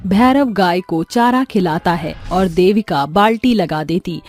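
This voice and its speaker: A woman's voice: on the low side, a little slow, soft background music. A woman giving scripted storytelling narration for a moral tale.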